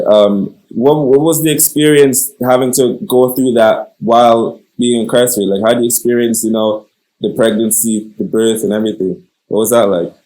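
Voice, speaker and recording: A man's voice: medium pitch, dark tone, poor audio. A man interviewing conversationally, feeling his way through questions and rephrasing as he goes. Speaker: interviewer, host.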